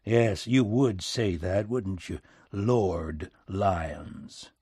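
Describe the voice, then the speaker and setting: A man's voice: mid-range voice, expressive, unhurried, darkish tone, dry recording, pitched above the performer's own voice. Audiobook role: weary, sardonic American president, folksy back-country twang, emphatic, very dry close sound male narrator acting it out. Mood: bitter, contemptuous.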